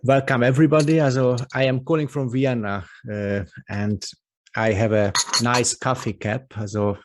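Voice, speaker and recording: A man with a Hungarian accent giving a webinar presentation over a video call, halting and full of "uh" and "also" fillers. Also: medium pitch, steady tone, background noise. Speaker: guest expert presenter. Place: office with company logo behind him.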